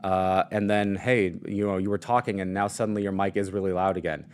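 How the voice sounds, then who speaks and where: Low-voiced man demoing mic gear. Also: steady tone, brisk, darkish tone, clean tone. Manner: casual, explanatory, many fillers. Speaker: product demo presenter. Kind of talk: live product demo and Q&A. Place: at a desk. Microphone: studio mic on shock mount and arm.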